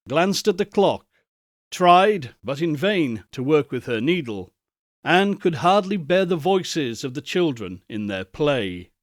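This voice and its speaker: A man narrating a Victorian ghost story in a solemn, deliberate and theatrical way. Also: a little high, no room sound, studio quality. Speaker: audiobook narrator, reader.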